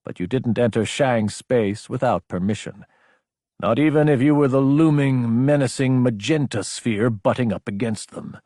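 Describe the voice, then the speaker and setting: A man narrating sci-fi fiction as an audiobook, with a measured, serious, dramatic storytelling delivery. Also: mid-range voice, dark tone, no echo, studio quality.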